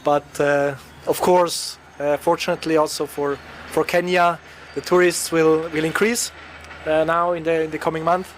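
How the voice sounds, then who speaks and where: A man's voice: fairly high pitch, little pitch movement, moderate pace, a little hiss or hum. A man from Switzerland, a radio interview guest, talking hesitantly with many filler sounds. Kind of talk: interview answers.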